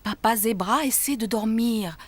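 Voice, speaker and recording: A woman playing a gentle but firm zebra mother in a children's cartoon. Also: on the high side, measured pace, a little hiss or hum, close to an everyday voice. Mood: gently firm.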